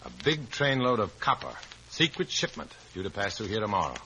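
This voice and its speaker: A wary undercover man with a very deep voice. He talks in clipped, sardonic lines, in the manner of a vintage radio spy thriller. The old recording is clearly noisy. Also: big pitch swings, fairly quick, bright, forceful tone, heavily acted. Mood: insistent. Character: wary, hard-boiled undercover agent posing as a comrade. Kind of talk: old-time radio spy drama acting.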